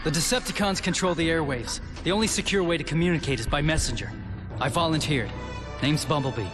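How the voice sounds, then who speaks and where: An actor playing a young, eager and earnest robot scout in a video game. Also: male voice, on the high side, fast talker, over music, close to an everyday voice. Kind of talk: video game cutscene acting.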